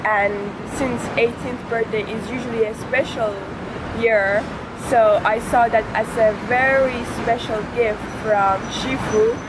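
A young woman from Iran, about twenty-one, gives interview answers in a fairly high voice. The recording has clear outdoor noise around her. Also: steady tone, measured pace. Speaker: interviewee, bike tour participant.

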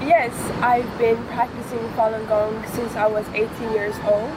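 A woman's voice: on the high side, flat delivery, normal speed. A woman of twenty-one from Iran, answering questions in an interview. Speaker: interviewee, bike tour participant. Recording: clear outdoor ambient noise.

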